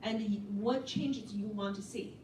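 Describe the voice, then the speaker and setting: A woman's voice: medium pitch, steady tone, measured pace, mellow. A woman on an advisory board addressing a community meeting in an earnest, rallying way. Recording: echoey room, distant mic, background noise.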